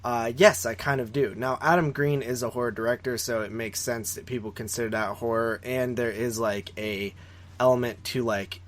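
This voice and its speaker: A man with a medium-pitched voice chats casually as a podcast co-host. He rambles through his opinions on films and tends to repeat words when he wants to stress a point. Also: fairly even intonation, normal speed. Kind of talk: casual conversational film discussion.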